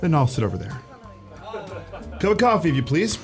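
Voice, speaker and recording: Actor as a wry, needling young shop clerk. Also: male voice, on the high side, big pitch swings, brisk, music in the background. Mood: casual, teasing. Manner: wry, needling, mock-polite. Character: teasing, proud, persistent male shop clerk. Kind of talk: old-time radio romantic comedy acting.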